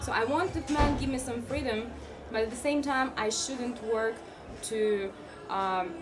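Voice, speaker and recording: A woman's voice: on the high side, measured pace, over music. A young woman with a Russian accent, chatting earnestly at a live-streamed party interview. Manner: chatty, earnest, slightly non-native phrasing. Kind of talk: casual interview. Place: busy indoor party venue. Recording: crowd chatter behind.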